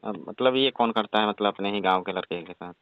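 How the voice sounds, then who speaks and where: A man plays a reluctant young village repairman in a comic call skit. His voice is deep, and he grumbles and refuses in a colloquial way. It sounds dry and narrow, like a phone recording. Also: brisk, no room sound, close to an everyday voice. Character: reluctant, exasperated young village electrician fending off advances.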